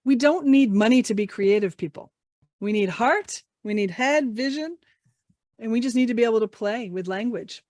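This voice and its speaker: A woman's voice: on the high side, expressive, moderate pace, darkish tone, no room sound, studio quality. A woman trainer leading an online workshop, upbeat and encouraging, asking the group many questions. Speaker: English language trainer. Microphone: video call mic.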